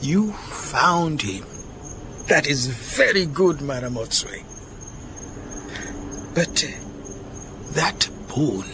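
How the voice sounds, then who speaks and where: An actor playing a shy, kindly, worrying mechanic with a Southern African accent in a radio drama, speaking formally and hesitantly. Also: male voice, a little high, sing-song, very slow, long pauses, heavily acted. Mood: admiring. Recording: noticeable background noise, chirping night insects.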